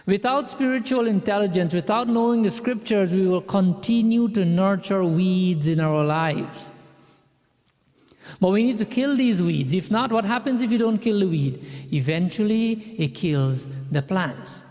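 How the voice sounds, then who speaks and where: A man's voice: sits high, a little slow, a little mellow, big-room sound. A man from Guyana leading a satsang, preaching in a conversational way with personal stories and frequent restarts. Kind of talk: sermon.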